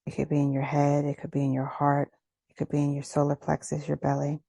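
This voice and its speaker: Woman, guided meditation, soothing, slow instructions, soft breathy tone, slight gravelly creak, close dry sound. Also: low-pitched, monotone, no echo. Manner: gentle, soothing, unhurried instruction with pauses.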